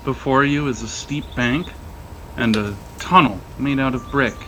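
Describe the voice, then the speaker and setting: A man's voice: medium pitch, a little slow, fairly bright tone, a little hiss or hum, poor audio. A man running a tabletop role-playing game, improvising narration in a casual, conversational way and reacting to his players. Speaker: game master.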